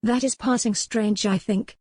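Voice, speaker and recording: A woman's voice: mid-range voice, steady tone, a little slow, darkish tone, slightly rough, no echo, clean studio sound. Woman playing a proud, impassioned captive noblewoman. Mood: challenging. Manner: impassioned, formal archaic phrasing. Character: proud, fiercely resolute young noblewoman held captive. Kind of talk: dramatized romance audiobook dialogue.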